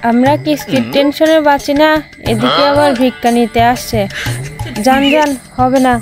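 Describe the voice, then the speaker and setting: অভিনেত্রীর কণ্ঠে চড়া গলার মুখরা কার্টুন বউ, পেছনে সঙ্গীত. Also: female voice, sits high, fairly even intonation, moderate pace, over music. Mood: mocking. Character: sharp-tongued, teasing young wife in a comic cartoon. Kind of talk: comic Bengali cartoon dialogue.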